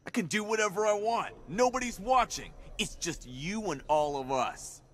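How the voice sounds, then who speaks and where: A sadistic militia thug in a video game, with a bright, forceful, fairly high male voice. He taunts and gloats menacingly, his pitch swinging freely. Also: animated, moderate pace, slight background noise. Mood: gloating menace.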